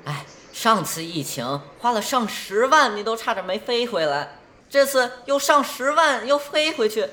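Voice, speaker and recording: Actor doing cheeky little brother in radio play, very lively, sarcastic and teasing. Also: mid-range voice, moderate pace. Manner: sardonic, pointed. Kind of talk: Chinese radio drama dialogue.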